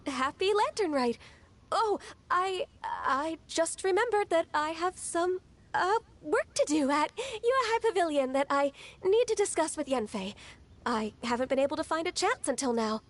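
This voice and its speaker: A woman playing a gentle, dutiful young secretary in a video game, polite and earnest. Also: very high-pitched, very animated, normal speed. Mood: polite, flustered. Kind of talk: scripted video game dialogue.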